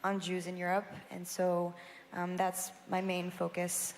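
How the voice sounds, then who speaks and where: A woman's voice: on the low side, completely flat delivery, slight background noise. Young woman from Brussels on a panel. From Brussels, Belgium. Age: young adult. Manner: measured, with frequent "um"s. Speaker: student representative on a panel. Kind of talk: panel talk. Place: large conference hall. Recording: reverberant conference hall, faint ambience.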